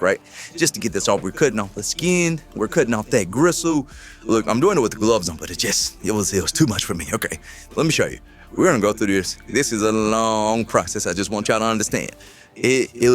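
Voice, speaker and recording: An upbeat man's voice, made with an AI voice changer, narrating a cooking show in a casual, conversational way. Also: on the low side.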